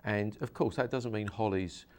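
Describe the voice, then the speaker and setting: A man's voice: on the low side, normal speed, a little mellow. A man, a hypnosis practitioner, interviewing a guest and explaining his method earnestly, with many repeats and restarts.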